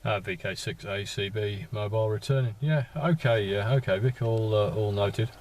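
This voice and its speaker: A man chatting as an amateur radio operator in a halting way, repeating words as he goes and using call signs. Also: lowish voice, darkish tone, slight background noise. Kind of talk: casual radio contact chat with call signs. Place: inside a parked vehicle.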